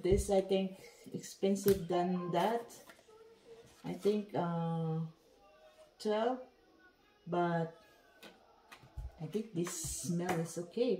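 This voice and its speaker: Woman, native tagalog speaker, chatty, slow, mellow voice, echoey room. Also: lowish voice, a little hiss or hum. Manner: chatty, giggly, broken English. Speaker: vlogger. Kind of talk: casual unboxing chat. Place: dining room at home.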